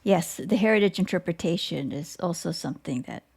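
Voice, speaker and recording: Creaky-voiced woman hosting an interview. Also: on the low side, brisk, a little mellow. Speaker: podcast host, interviewer. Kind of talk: conversational interview.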